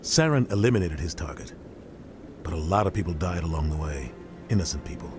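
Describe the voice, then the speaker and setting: A man's voice: low-pitched, expressive, a little fast. A man playing a middle-aged navy captain in a video game, grave, embittered and emphatic. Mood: somber, bitter. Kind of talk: video game cutscene acting. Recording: music behind game dialogue.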